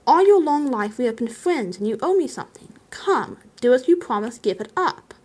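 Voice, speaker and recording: A woman voicing a grave old male wizard, stern and commanding, with a measured, advising manner in a storybook reading. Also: sits high, sing-song, darkish tone, husky, full character voice. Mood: stern, warning.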